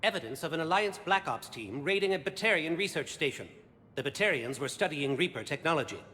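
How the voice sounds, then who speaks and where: A man's voice: fairly high pitch, animated, a little fast, sharp tone. A man voicing an intense, confident alien special agent in a video game, clipped and formal in delivery. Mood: urgent, formal. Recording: long echoing reverb, background noise.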